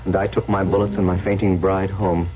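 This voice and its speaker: An actor playing a tormented young huntsman, gravely and dramatically recounting his tale in old-time radio style. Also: deep voice, little pitch movement, a little fast, natural voice. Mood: grim, haunted. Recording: dull old radio recording.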